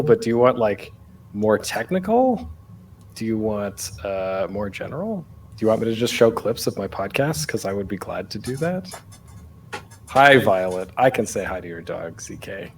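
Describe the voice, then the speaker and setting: A man's voice: mid-range voice, animated, normal speed, a little hiss or hum. A man presenting a casual online panel as a podcaster, speaking off the cuff in a rambling, self-deprecating, jokey way.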